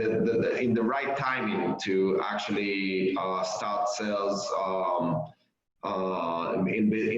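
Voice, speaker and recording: Hesitant Israeli man, low slow voice. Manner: hesitant, many fillers and restarts. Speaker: startup founder and CEO pitching investors. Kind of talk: investor pitch over a call. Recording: echoey, dull lo-fi audio.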